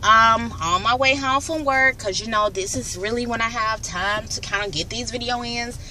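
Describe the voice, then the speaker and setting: A woman's voice: a little high, normal speed, pushed and bright, slight background noise, poor audio. A young adult woman, chatting casually and rambling to camera in her car on the way home. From Birmingham, Alabama, US. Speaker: vlogger.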